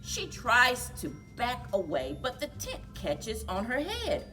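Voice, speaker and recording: A woman reading a children's story aloud in a deliberate, expressive way for young listeners, as a story time host. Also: fairly high pitch, recorded from a distance, soft background music.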